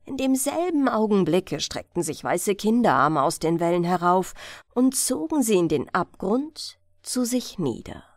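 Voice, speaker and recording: A woman narrating a bedtime fairy tale as an audiobook reader, with gentle, measured delivery. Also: mid-range voice, sing-song, no room sound, clean studio sound.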